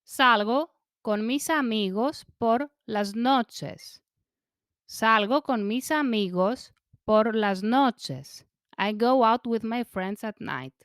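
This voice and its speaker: A woman's voice: a little high, expressive, very slow, smooth, dry recording, studio quality. A woman teaching as a language teacher, reading each phrase in pieces, repeating it and then giving the translation. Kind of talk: slow phrase-by-phrase language drill.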